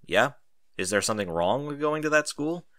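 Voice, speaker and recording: A man's voice: medium pitch, lively intonation, a bit bright, no room sound, natural voice. A man voicing an easygoing military captain who teases and reassures a youngster in an audiobook story. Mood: reassuring. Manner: teasing, unhurried reassurance to a youngster.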